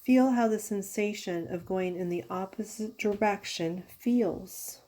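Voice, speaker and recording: Woman yoga teacher doing guided relaxation, mellow voice, slow soothing instructions w pauses. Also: medium pitch. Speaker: yoga therapist guiding a meditation. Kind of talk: guided yoga nidra meditation.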